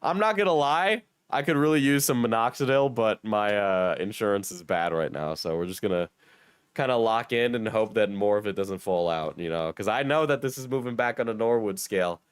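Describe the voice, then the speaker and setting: A hoarse young man with a cold, joking and swearing casually as he reads his chat on a livestream. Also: on the low side, brisk, no room sound. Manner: joking, self-mocking, swears. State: hoarse, sick. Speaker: streamer reading chat.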